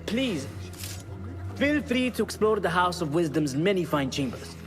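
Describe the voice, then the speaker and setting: A man's voice: high-pitched, very animated, a little slow. A man playing a flustered, polite announcer in a video game, calling out to people. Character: flustered, polite male announcer closing lecture. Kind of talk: video game cutscene acting. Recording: long hall reverb, music behind.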